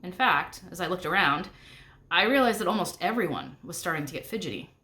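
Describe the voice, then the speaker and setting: A woman's voice: on the low side, animated, a little fast, pushed and bright. A woman narrating a middle grade novel aloud, expressively and with a snarky first-person manner. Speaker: narrator reading a book aloud.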